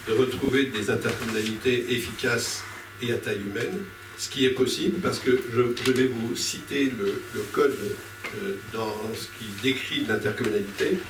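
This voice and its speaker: A man speaking off the cuff as a panelist at a local political debate, arguing his case in a halting way and often rephrasing mid-sentence. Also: mid-range voice. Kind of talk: impromptu political speech to a public meeting. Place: indoor public meeting room. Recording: echoey room, distant mic, clear background noise.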